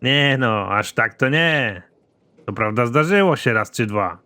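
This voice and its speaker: Gruff, cocky cartoon army major done by a man. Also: medium pitch, expressive, unhurried, bright, forceful tone, voice pushed down. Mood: deadpan, stubborn. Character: crude, trigger-happy army major, dim and cocky man. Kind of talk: animated comedy series dialogue.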